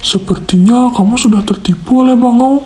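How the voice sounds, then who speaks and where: A helpful fable monkey, acted by a man, speaks frankly and offers advice. The male voice is very high yet notably mellow, with faint music behind it. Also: moderate pace. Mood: frank, advising.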